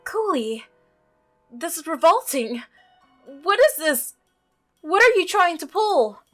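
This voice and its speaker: A woman's voice: very high voice, very animated, takes it slowly, fairly bright tone, soft background music, pitched above the performer's own voice, heavily acted. A woman playing an alarmed, disgusted visual novel protagonist, exclaiming with indignation. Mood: alarmed, indignant.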